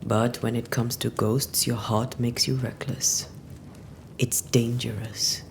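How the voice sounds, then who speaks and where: An actress playing a resolute, stern woman who banishes ghosts in a video game, speaking with measured firmness. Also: rumbling low, flat delivery, slight background noise, exaggerated voice. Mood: serious, tender. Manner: measured, firm. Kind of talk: dramatic video game acting.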